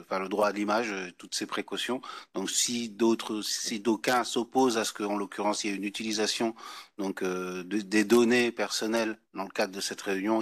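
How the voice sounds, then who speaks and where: Guy chairing a meeting, lowish voice, formal and wordy, stop-start, dry close sound. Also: a bit bright, no echo. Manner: formal, wordy, halting phrasing. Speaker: chairing official at a public meeting. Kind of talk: formal meeting address, semi-improvised. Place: indoor public meeting.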